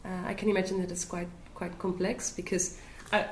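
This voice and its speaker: A woman interviews in a casual, tentative way, feeling out her questions as she goes. Her voice sits on the low side, in a noticeably echoey room with some background noise. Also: little pitch movement, a little fast, a little mellow. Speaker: student interviewer. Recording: roomy echo with background noise.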